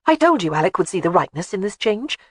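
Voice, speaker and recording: A woman's voice: medium pitch, very animated, quick pace, dry recording, pitched above the performer's own voice. A woman voicing a spirited young wife in an audiobook who is cheerful, stubborn and insistent.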